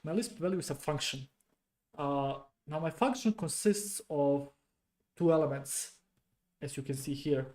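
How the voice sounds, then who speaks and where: Man, slow halting speech, mellow low-key tone, muttering to himself, debugging at a desk mic. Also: animated. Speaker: programming streamer. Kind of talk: thinking aloud while debugging code.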